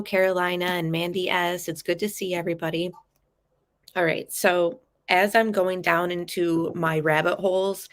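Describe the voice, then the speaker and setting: A woman with a low voice, stuffed up and nasal from a lingering cold. She talks in a loose, chatty way, calling her viewers guys. She walks them through a true crime case. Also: gravelly, a bit bright. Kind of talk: casual live chat commentary.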